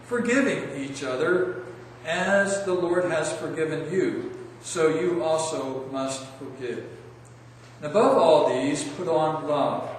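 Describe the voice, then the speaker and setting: Slow-paced pastor in an echoing church. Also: male voice, high voice. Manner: deliberate, emphatic, declamatory phrasing when reading. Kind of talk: solemn public scripture reading in church. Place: large indoor church sanctuary. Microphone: distant microphone. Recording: echoey church hall from far off, faint noise.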